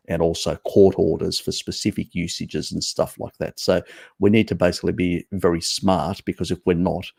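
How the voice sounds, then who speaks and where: A man with an Australian accent hosting a casual live-stream Q&A, slightly croaky, talking at length in a rambling, opinionated way and greeting viewers by name. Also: darkish tone, no room sound, clean studio sound. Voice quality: gravelly, low.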